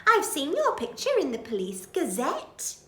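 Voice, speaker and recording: A genteel lady burglar chirps in a high, swooping voice, played by a woman reading a storybook. She is polite and excitable, and she takes her time. The voice rings in a roomy space. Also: a little slow, full character voice. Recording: roomy echo.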